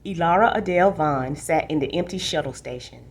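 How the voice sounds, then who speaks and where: A woman's voice: low-pitched, a little fast, breathy edge. A woman hosting a book session for tweens, talking casually and reading aloud. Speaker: host, library book presenter.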